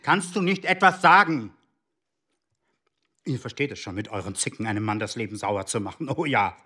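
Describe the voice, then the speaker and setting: An actor playing a middle-aged husband and secret agent in a radio play, husky, reproachful and self-pitying as he defends himself. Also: fairly low pitch, animated, normal speed, pushed and bright, full character voice. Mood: bitter accusing. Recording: studio radio play with faint music.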